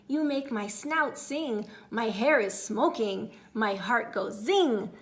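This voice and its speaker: Lovestruck javelina salsa guy, lady putting it on, loud rhythmic storybook chant, showy. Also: fairly high pitch, animated, slow pace. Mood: smitten, showy. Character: smooth-talking, amorous male javelina salsa singer. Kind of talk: children's storybook character voice.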